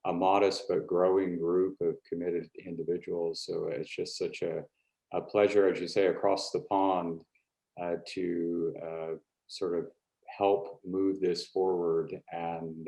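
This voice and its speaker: An American man introducing himself informally at an online meeting, speaking haltingly with pauses mid-phrase. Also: sits low, little pitch movement, gravelly, mellow, rough recording. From California, United States. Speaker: board trustee.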